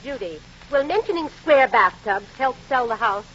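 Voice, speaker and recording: An exasperated, domineering aunt from old radio comedy. Her high voice has a sharp, bright edge, and the vintage recording is dull. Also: expressive, normal speed. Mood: doubtful. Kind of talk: 1940s radio comedy acting.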